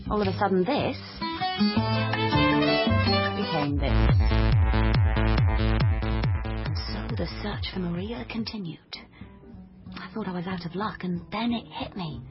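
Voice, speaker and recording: A woman's voice: lowish voice, animated, a little slow, pauses often, dull audio, over loud music. An actress playing a headstrong young female amateur detective in a comic radio drama, melodramatic, emphatic and wry. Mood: wry narration. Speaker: lead character and narrator.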